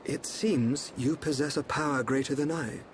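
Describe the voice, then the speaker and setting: A man voicing a calm, enigmatic young seer of a royal court, speaking in a measured, formal, cryptic way in a video game. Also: medium pitch, slight background noise, close to an everyday voice. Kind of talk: scripted video game cutscene acting.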